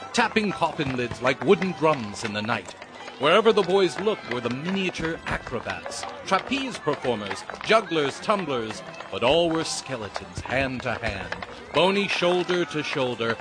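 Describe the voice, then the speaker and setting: A man narrating a dramatized audiobook as a lyrical, measured storyteller with theatrical delivery. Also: medium pitch, lightly acted. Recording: loud music behind the voice. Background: music.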